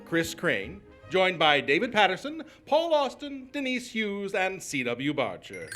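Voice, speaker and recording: A man playing an old-time radio show announcer, reading sponsor lines with a booming showy pitch.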